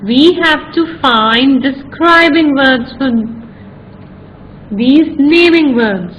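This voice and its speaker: A woman teaching a children's grammar lesson, speaking slowly and deliberately, spelling out words and repeating each example. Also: high-pitched, expressive, fairly bright tone, a little hiss or hum, rough recording.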